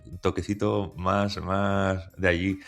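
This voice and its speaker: A man with a low, gravelly voice hosts a relaxed podcast interview. He talks haltingly and keeps restarting his phrases, with faint music underneath. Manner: hesitant, many restarts and fillers. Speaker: podcast host. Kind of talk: conversational interview.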